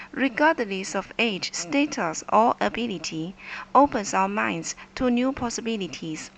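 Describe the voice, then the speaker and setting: Higher-pitched woman narrating, noisy background. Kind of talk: scripted informational narration. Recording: noticeable background noise.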